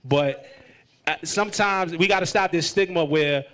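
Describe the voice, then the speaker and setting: A man's voice: fairly high pitch, normal speed, fairly bright tone, smooth. An American man casually hosting a hip-hop interview, talking in an emphatic, hyped way and repeating himself for effect. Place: live event before an audience.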